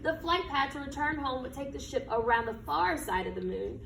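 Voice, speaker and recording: A high-voiced woman reads a children's story aloud in a very lively, expressive way. She phrases things dramatically and asks her listeners questions. The sound is roomy and distant from the mic, with faint noise. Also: brisk, gravelly. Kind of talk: expressive children's picture book read-aloud.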